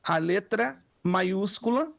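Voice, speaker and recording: High-voiced man teaching, dry close sound. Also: animated, slow, vocal fry, fairly bright tone. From Brazil. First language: Spanish and Hebrew. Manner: patient, repeats words for students to echo. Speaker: theology teacher. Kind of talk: online class lecture.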